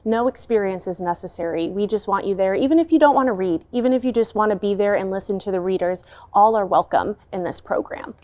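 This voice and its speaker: A woman's voice: mid-range voice, fast talker, hardly any pauses, a little mellow. A woman with a mid-range voice talks as a guest in an interview. She is fluent and promotional, with an upbeat, welcoming way of speaking. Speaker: associate artistic director, interview guest.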